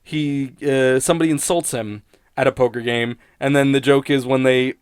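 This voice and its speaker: A man's voice: mid-range voice, fairly even intonation, moderate pace, clear, resonant tone, no echo, studio quality. A man rambling casually and sardonically in an unscripted review, swearing freely like a podcast critic.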